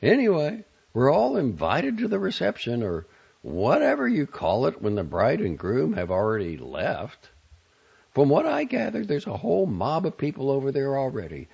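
A man's voice: mid-range voice, wildly swooping pitch, normal speed, creaky, darkish tone, slightly rough, lo-fi sound, pitched above the performer's own voice, heavily acted. A man voicing a brash, bossy, irritable woman in an audiobook, with a sardonic, clipped, emphatic delivery. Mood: breezy, weary, bossy. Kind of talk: audiobook character dialogue.

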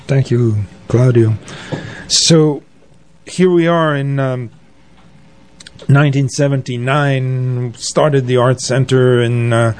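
An older man telling anecdotes as an interview guest, in a halting, conversational way. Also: mid-range voice, steady tone, darkish tone. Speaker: interview guest, arts organizer. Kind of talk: conversational reminiscing.

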